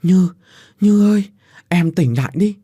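A man voicing a loyal young man who calls out in urgent, strained shouts. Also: on the high side, unhurried, dry recording, clean studio sound, voice pushed up, lightly acted. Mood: urgent desperate.

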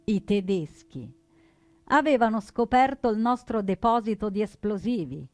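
A woman playing an earnest, proud young partisan who narrates a scripted stage drama with deliberate, dramatic phrasing. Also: medium pitch, expressive, dry recording, lightly acted. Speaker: storyteller narrator.

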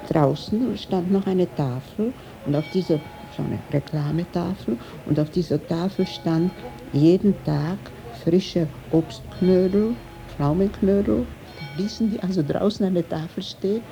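An elderly woman with a low, gravelly voice, telling anecdotes in a wry, emphatic way. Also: mellow, slight background noise, rough recording. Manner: wry, emphatic storytelling with rhetorical tags. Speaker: interviewee, writer sharing recollections. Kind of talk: spontaneous anecdotal recollection.

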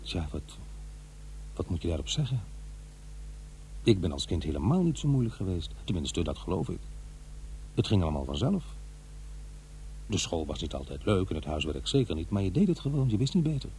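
A man's voice: low-pitched, big pitch swings, moderate pace, pauses often, warm tone, slight background noise. An actor playing a middle-aged divorced father who muses wryly over his memories in a radio drama. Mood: nostalgic, puzzled. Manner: musing, hesitant, talking to himself. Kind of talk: radio drama monologue, conversational and introspective.

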